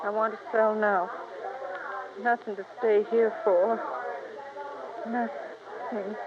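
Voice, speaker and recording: Older rural woman, weary and halting, vintage radio drama, dull sound with clear noise. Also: medium pitch, steady tone. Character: frail, weary, plucky old country widow. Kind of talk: old-time radio detective drama acting. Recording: noisy, muffled old radio recording.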